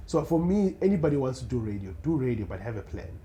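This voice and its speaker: A young man with a South African accent, a radio producer chatting casually as a podcast guest, animated and quick to laugh, often restarting his sentences. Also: mid-range voice, a little hiss or hum. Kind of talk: casual interview chat.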